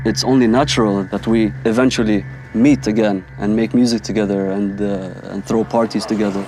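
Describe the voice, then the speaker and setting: A man from Haifa, a DJ, talking calmly and conversationally in an interview. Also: on the low side, brisk, noisy background. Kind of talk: documentary interview.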